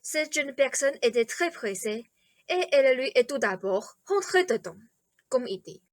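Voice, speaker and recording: Woman as polite eccentric king, high voice, dry close sound, formal phrasing, radio drama. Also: big pitch swings, dry recording. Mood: courteous, confiding. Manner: formal, over-polite, measured. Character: courteous, eccentric, ceremonious old-fashioned king, a doting father. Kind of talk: theatrical radio play acting.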